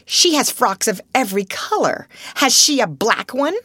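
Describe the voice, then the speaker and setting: Woman putting on a cold furious school-mistress, sharp and biting, pitched high. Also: sing-song, normal speed, husky, no echo, exaggerated voice. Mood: fierce. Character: furious, hard-hearted older woman running a girls' school.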